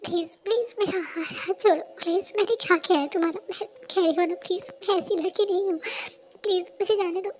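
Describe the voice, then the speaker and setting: A young woman playing a frightened young woman who pleads in a rushed voice. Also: extremely high pitch, a little hiss or hum. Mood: pleading.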